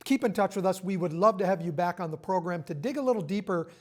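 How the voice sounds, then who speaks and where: A man's voice: sits high, quick pace, darkish tone. A middle-aged man hosting a broadcast interview in a TV studio, partly scripted. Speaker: TV interview host.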